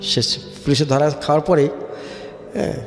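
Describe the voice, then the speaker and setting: A man's voice: fairly high pitch, steady tone, moderate pace. Bangladeshi man telling his story, echoing reverb. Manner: mixes English words into his Bengali. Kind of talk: personal storytelling in a radio interview. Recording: echoey reverberant room with music behind. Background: background music.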